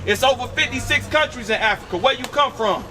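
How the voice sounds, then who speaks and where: A man's voice: very high-pitched, fast, crowd noise. A man preaching outdoors on a city street, emphatic and commanding, asking rhetorical questions and calling on a reader. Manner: commanding, directs a reader with repeated "read". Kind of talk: street preaching with call-and-response. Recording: distant mic with street noise.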